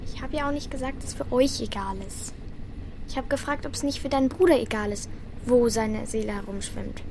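A woman playing a blunt, stubborn, sharp-tongued young girl in a children's radio play, insistent and cheeky. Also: on the high side, a little fast, a little hiss or hum, natural voice. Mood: firm.

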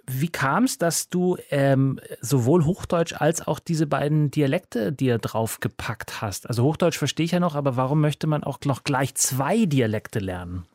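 A man hosting a radio talk show, asking questions in a relaxed, conversational way. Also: a little high, unhurried, hardly any pauses, no echo, studio quality. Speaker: radio host. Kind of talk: radio interview.

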